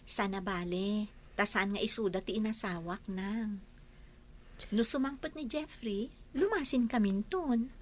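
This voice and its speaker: A woman playing a gentle, patient young married daughter in an Ilocano radio drama, polite and soothing. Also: mid-range voice, unhurried, a little hiss or hum, natural voice. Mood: placating.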